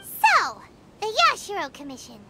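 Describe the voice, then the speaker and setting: A woman voicing a tiny, cheeky, inquisitive fairy companion in a video game, with a squeaky childlike voice. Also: huge pitch swings, unhurried, heavy background noise, heavily acted. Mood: curious, blunt. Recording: loud music under the voice.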